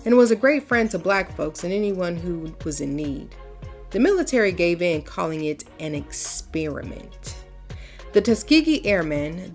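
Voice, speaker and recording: A woman's voice: medium pitch, fairly bright tone. A woman reading a storybook as a proud, folksy mother who recounts wartime history in an emphatic, conversational first person. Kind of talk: storybook read-aloud, first-person narration.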